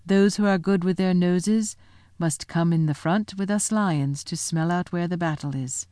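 A woman voicing a majestic male lion who gives commands, booming at first and then low and steady. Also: normal speed, no room sound, studio quality, lightly acted. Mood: commanding.

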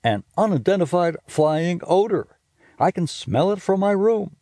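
A man doing a bossy big-sister girl, high and squealing. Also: lively intonation, measured pace, warm tone, no echo, clean studio sound.

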